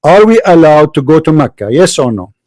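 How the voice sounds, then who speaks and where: Male debater, high voice, insistent and accusing, English, dry mic. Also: sing-song, fairly quick, a little mellow, no echo. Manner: insistent, repeats questions, accusing. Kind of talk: heated live debate.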